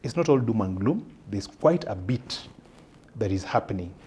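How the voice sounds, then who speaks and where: A man with a Kenyan accent explaining policy as an interview guest, measured and halting as he searches for words. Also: lowish voice, lively intonation, a little mellow, slight background noise.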